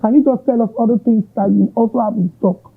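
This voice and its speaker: Male host, Nigerian accent, rambling questions, husky voice, phone-thin audio. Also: sits high, fairly even intonation, quick pace. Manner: long, winding questions with Yoruba asides. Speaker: interviewer, host. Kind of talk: interview questions. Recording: tinny narrow-band audio.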